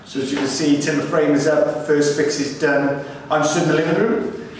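A young man, an estate agent giving a brisk, upbeat walkthrough of an unfinished house in short clipped phrases. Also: on the high side, level tone. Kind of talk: walkthrough tour of a building site. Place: unfinished timber-frame house and outdoor building site. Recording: echoey bare rooms with loud site noise.

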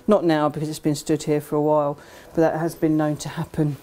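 A woman in middle age leads an informal tour in a low, soft-edged, mellow voice. She explains things as she walks, pausing to find her words. There is some faint noise around her, as in an empty station interior. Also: flat delivery. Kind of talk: informal guided tour commentary. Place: indoors in disused underground station, tunnels and train carriage.

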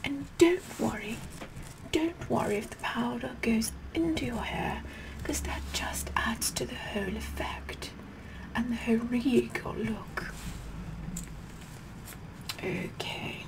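A woman playing a haughty, whispering, slow-talking queen. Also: fairly high pitch, low-quality recording. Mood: vain, mock-sweet. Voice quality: breathy whispering mixed with soft speech. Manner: slow, imperious, condescending, repeats words. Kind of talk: whispered ASMR roleplay.

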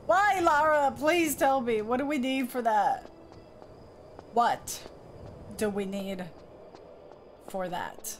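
A woman's voice: a little high, animated, slow, pauses often, a little hiss or hum. A young woman streaming a game, chatting to the character on screen and to her viewers from a small room. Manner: chatty, talks to the game character and reads chat aloud. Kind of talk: live game commentary. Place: small room with colorful lights behind.

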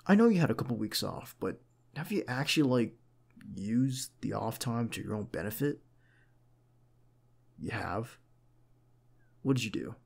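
A protective male bodyguard, acted by a man for an ASMR roleplay, with a mid-pitched voice. He is earnest and caring, speaking in a conversational, gently insistent way with hesitant pauses. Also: lively intonation, creaky, breathy edge, full character voice. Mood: earnest, concerned. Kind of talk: ASMR roleplay acting, one side of a dialogue.

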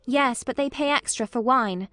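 Lady reader as sheltered young girl, earnest n a bit stubborn, high voice, dry sound, soft music under. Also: normal speed, no room sound. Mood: subdued. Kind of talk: audiobook character acting.

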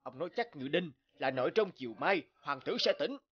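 A man's voice: fairly high pitch, lively intonation, fairly quick, husky, highs cut off. A man playing a scheming, self-serving middle-aged master sculptor in a cartoon fairy tale, authoritative and conniving. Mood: anxious, conniving. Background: background music.

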